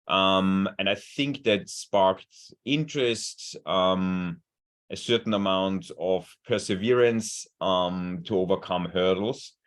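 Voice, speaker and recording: A man with an Austrian accent and a German mother tongue speaks over a video call. His voice sits very low, and his talk is earnest, with frequent repeated words as he looks for the right phrasing. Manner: earnest, repeats words while searching for them. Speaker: cancer researcher. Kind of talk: webinar talk.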